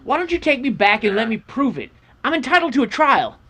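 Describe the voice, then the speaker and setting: Man as young western rancher, high voice, very animated pitch, earnest, pleading, radio drama. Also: fairly quick, breathy edge, heavily acted. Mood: desperate, pleading. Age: young adult.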